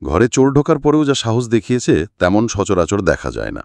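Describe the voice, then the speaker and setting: A man voicing a calm, sharp-witted private detective in an audiobook, assured and reassuring. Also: fairly low pitch, fairly quick, gravelly, no room sound, exaggerated voice. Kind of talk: audiobook character acting.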